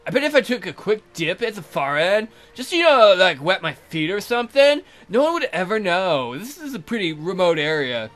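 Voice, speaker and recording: A man's voice: high voice, very animated, moderate pace, few pauses, fairly bright tone. A man voicing an annoyed, airheaded young fairy-tale maiden in a visual novel, with a hesitant valley-girl drawl full of filler words. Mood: airy, idle.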